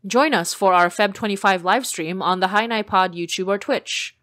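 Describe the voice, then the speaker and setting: A woman's voice: mid-range voice, normal speed, a bit bright, dry recording, clean studio sound. A woman podcast creator reading a scripted announcement in a friendly, upbeat way, addressing listeners directly.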